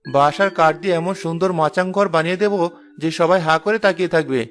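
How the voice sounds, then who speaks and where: High-voiced earnest village father, acted by a man. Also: fast talker, a bit bright, faint music behind, close to an everyday voice. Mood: gentle, reassuring. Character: kind-hearted village husband and doting father. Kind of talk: dramatic cartoon dialogue.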